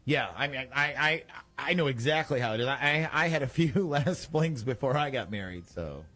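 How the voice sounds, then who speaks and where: A man's voice: medium pitch, expressive, normal speed, sharp tone. A man hosting a morning radio show, running a prank call in a casual, teasing way.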